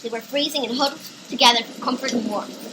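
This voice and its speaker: High voiced female narrator reading a story, noisy amateur recording. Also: fast.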